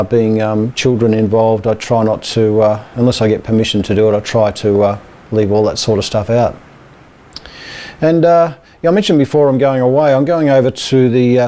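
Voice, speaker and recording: Mellow, softly dark-voiced Australian man. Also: medium pitch. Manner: hesitant, rambling, informal. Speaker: amateur radio and television club presenter. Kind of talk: casual update to camera.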